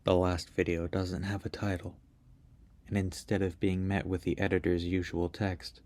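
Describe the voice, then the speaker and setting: A man with a notably deep, low voice narrates a scripted review. His delivery is measured and even, like steady storytelling. The recording is close and dry, with no room sound. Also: dry recording. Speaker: video essayist.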